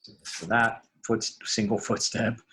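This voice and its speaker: A man narrating a casual software tutorial, informally thinking aloud as he works. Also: fairly low pitch, little pitch movement, unhurried. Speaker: podcast creator and sound designer. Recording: background noise, mouse clicking.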